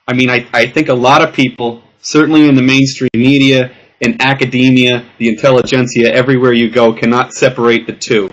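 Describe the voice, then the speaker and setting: A man leads a casual panel talk, winding through long questions with plenty of filler and the odd jab at a guest. His voice sits at a middle pitch. The recording is dull and lo-fi. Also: flat delivery, fairly quick, few pauses. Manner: teasing, wordy questions with filler. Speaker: podcast host. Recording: dull lo-fi sound.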